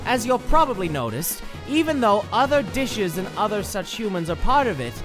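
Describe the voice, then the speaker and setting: An eager, studious cartoon cup character, acted by a man, lectures in an emphatic, rambling way. The pitch swoops up and down with excitement, and loud music plays behind. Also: normal speed, low-quality recording. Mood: eager lecturing. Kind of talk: comic dub voice acting.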